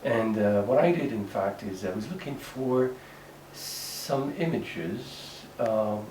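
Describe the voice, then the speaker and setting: A man's voice: on the low side, lively intonation, takes it slowly. Hesitant Moroccan man, roomy distant sound. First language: Moroccan Arabic. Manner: hesitant, many fillers and restarts. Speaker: writer. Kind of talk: interview monologue. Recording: echoey room, mic at a distance, faint background noise.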